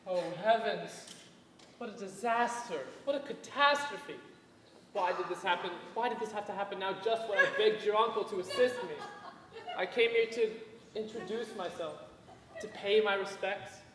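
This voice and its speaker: A man acts out an earnest young suitor who pleads for his beloved's hand. His voice is high and bright. It echoes through a hall from a distance. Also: normal speed, a little hiss or hum. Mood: dismayed. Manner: earnest, declaiming. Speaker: stage actor. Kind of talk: stage play performance.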